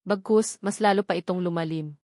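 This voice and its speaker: A woman voicing an earnest, devoted young man, a company boss, speaking calmly in a storybook romance. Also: lowish voice, fairly quick, dry recording, clean studio sound, close to an everyday voice. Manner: calm, earnest.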